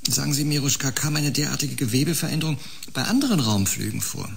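A gravelly man as a curious scientist. His pitch moves in lively swings as he muses and questions skeptically. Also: fast. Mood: curious, concerned. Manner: inquisitive, musing. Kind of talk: audio drama acting.

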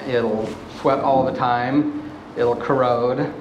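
A man in his thirties giving a relaxed, plainspoken walkthrough of a boat refit, with plenty of ums and uhs. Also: medium pitch, takes it slowly, highs cut off. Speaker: boat owner showing a refit. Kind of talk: casual walkthrough video. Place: inside a fiberglass boat hold and on deck. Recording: echoey hull with faint background noise.

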